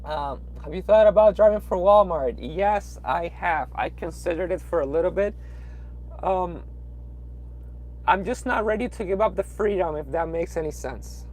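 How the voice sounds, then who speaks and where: A man's voice: high-pitched, moderate pace, a little mellow. A young man from Peru with a Peruvian Spanish accent, chatting casually on a late-night livestream from inside his truck cab, rambling with lots of fillers and restarts. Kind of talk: casual livestream chat reading viewer comments.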